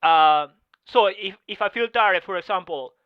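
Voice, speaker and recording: A middle-aged man whose first language is neither English nor French, giving halting testimony full of pauses and restarts over a video call. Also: sits high, smooth, no room sound. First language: not English or French. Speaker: Masters track and field athlete. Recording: slightly muffled video call audio.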